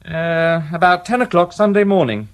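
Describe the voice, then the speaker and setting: An actor playing a weary, dry-witted police detective inspector in a radio drama. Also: male voice, high-pitched, lively intonation, normal speed. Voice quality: dry, understated, wry.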